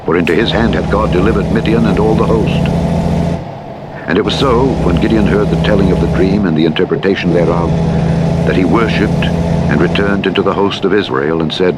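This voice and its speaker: Male, low voice, solemn scripture narration, measured and formal, loud truck rumble behind. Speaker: Bible narrator. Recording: heavy vehicle engine noise. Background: rumbling truck driving sounds.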